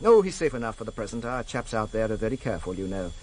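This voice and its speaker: A man's voice: lowish voice, big pitch swings, fast talker, a little mellow, slight background noise, poor audio. A man acting in a radio drama as a pedantic, coolly menacing senior intelligence official, with a fussy, precise, insinuating manner. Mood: smooth, cold.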